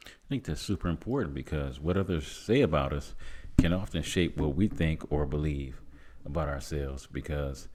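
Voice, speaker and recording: Guy in his 50s, super low voice, talking earnest and encouraging like on a podcast. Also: normal speed, darkish tone. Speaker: podcast host. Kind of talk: conversational podcast discussion.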